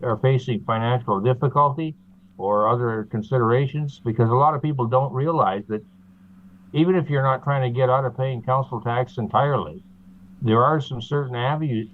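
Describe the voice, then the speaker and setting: A man from Canada, a guest in an interview, explaining steadily with frequent pauses and fillers over a video call. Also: mid-range voice. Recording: tinny phone-like line.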